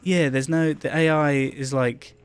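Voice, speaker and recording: A man's voice: on the high side, fairly even intonation, measured pace, clean tone. A man co-hosting a live game stream in casual, rambling, sweary chat. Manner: rambling, hesitant phrasing, sweary. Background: game music.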